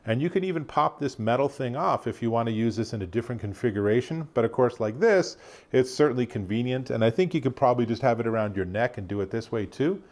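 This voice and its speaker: A man's voice: medium pitch, lively intonation, quick pace, darkish tone. A man giving a casual, conversational product demonstration as an enthusiastic tech reviewer.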